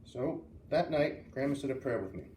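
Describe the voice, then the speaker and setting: A man's voice: medium pitch, lively intonation, normal speed, darkish tone. A man reading a children's novel aloud as a storyteller, expressively acting out the dialogue. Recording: roomy, distant mic with faint hiss.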